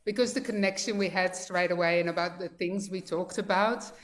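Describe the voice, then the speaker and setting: A woman's voice: fairly low pitch, steady tone, fairly quick. A woman in her fifties from the Netherlands with a mixed Dutch accent, sharing her personal story as a guest speaker on a video call in a chatty, heartfelt way with many fillers. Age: 50s.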